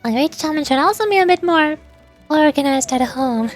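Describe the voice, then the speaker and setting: Woman as a tiny game fairy, female, very high, naive, blunt, chatty, music behind. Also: lively intonation, moderate pace. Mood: innocent, blunt. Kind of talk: game dialogue read aloud.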